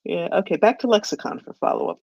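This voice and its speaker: A man's voice: high voice, huge pitch swings, quick pace, creaky, darkish tone, no room sound, highs cut off. A man hosting a live audio chat, chatty and ready with a joke. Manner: chatty, tells jokes. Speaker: host. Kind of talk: casual chat.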